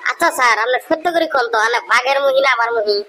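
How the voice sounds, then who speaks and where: A man's voice: extremely high pitch, pushed and bright, a little hiss or hum, voice pushed up. A man voicing a cheeky young village schoolboy who backtalks. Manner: cheeky, quick, argumentative excuses.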